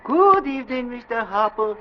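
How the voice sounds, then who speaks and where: An actor playing a polite, superstitious ship's steward in a radio drama, speaking halting broken English with a put-on foreign accent. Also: male voice, very high-pitched, big pitch swings, slight background noise. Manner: halting, deferential, clipped broken phrases. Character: soft-mannered, superstitious male ship's steward, politely ominous. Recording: dull vintage radio recording.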